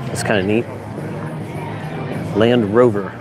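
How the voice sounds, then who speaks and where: A man's voice: lowish voice, steady tone, takes it slowly, over music. A man giving casual, off-the-cuff live commentary as he films a walk around an outdoor expo. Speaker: vlogger filming a live walkthrough of an outdoor expo. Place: outdoors in an expo lot and open tents. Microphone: handheld camera, operator behind it. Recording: outdoor crowd noise behind. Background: crowd chatter.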